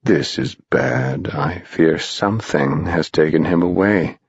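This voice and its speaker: Man voicing a very deep, gravelly, fleshless old spirit. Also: fairly even intonation, no room sound, lo-fi sound, exaggerated voice. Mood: mournful. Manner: slow, brooding, dramatic. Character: gaunt, ominous old male death-like spirit, grandly theatrical.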